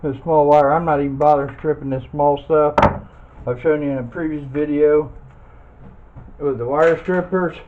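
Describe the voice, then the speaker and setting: A man's voice: on the high side, fairly even intonation, moderate pace. A man giving a casual hands-on demonstration as a video host, folksy and thinking aloud while he works. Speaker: video host demonstrating scrap metal teardown. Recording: dull lo-fi sound, faint noise and clicks.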